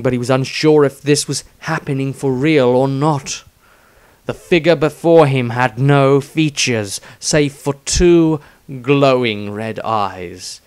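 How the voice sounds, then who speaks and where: A man reading a fantasy story as a dramatic audiobook, with deliberate, suspenseful phrasing. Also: medium pitch, clean tone, dry recording. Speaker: author reading own story.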